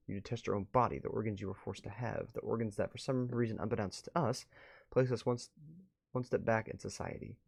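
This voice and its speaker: A man with a fairly low, gravelly voice is streaming a game. He reads text aloud in a measured way, then slips into casual, muttered asides to his viewers. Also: a little mellow. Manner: drifts between measured reading and offhand muttered commentary.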